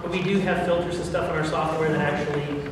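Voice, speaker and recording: High-voiced man in an echoey hall. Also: steady tone, quick pace, background noise. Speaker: audio drama producer and panel host. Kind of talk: informal convention Q&A talk. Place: large indoor convention room with audience. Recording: echoey crowded hall, distant camera mic.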